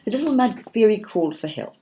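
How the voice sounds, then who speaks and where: A woman narrating a children's story from a script in a gentle, expressive, measured way. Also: mid-range voice, slight background noise.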